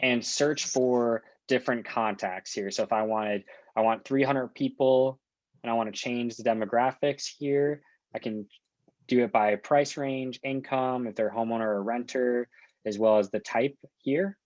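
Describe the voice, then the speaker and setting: A man working as a software trainer, talking through an on-screen walkthrough in an informal, explanatory and reassuring way. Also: mid-range voice, fairly even intonation, normal speed, no room sound, highs cut off.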